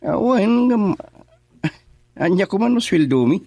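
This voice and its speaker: A man's voice: sits high, big pitch swings, measured pace, gravelly, dark tone, full character voice. A man with an Ilocano accent playing a chatty, teasing man in a radio drama. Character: casual teasing man named Cardo.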